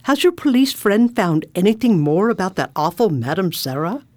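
A woman playing a grumpy widowed sister with a hidden soft side in a radio drama. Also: mid-range voice, big pitch swings, moderate pace, no echo. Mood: curious.

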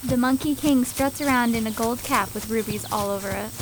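Radio fairy tale: earnest young woodland daughter played by a woman, fairly high voice, adventurous and dutiful. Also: a little fast, noisy background, lightly acted. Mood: wary, earnest.

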